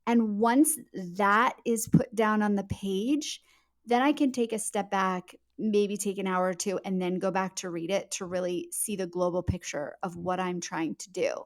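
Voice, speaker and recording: A woman's voice: medium pitch, steady tone, measured pace. A woman speaking casually as an author being interviewed on a podcast. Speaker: author guest.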